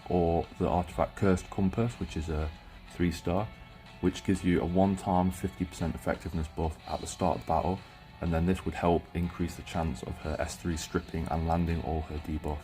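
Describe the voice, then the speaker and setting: A man giving gameplay review commentary, explaining things in a measured way over music. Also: deep voice, warm tone. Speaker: video game reviewer.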